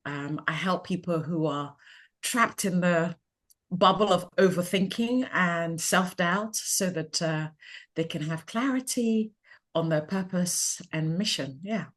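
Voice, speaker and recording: Middle-aged woman from Ghana, low-ish voice, measured and reflective interview guest. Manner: calm, measured, thoughtful. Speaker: interviewee, life coach.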